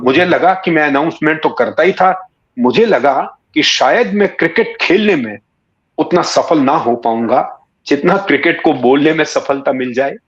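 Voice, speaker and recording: A man in his sixties from Rajasthan reminisces in a conversational interview, telling stories in an unhurried way with hesitant pauses. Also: on the high side, fairly bright tone. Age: 60s. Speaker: interview guest, writer and former cricket commentator. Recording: dull, muffled lo-fi audio.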